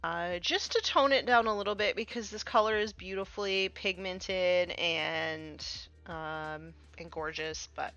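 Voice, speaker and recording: A woman's voice: lowish voice, unhurried, a bit bright, no echo, soft background music. Young woman stream host, sniffly, rambling chatty delivery, muffled lo-fi dry audio. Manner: chatty, loose, many fillers. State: slightly sniffly, coming down with a cold. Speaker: stream host painting miniatures. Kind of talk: casual live-stream chat while working.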